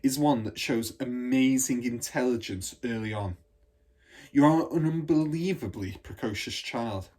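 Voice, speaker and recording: A man's voice: medium pitch, unhurried, darkish tone, close to an everyday voice. A man voicing a kind, patient and cautious woman schoolteacher in a children's storybook, speaking in a careful, explanatory way. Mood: thoughtful, explaining. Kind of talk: children's storybook read aloud.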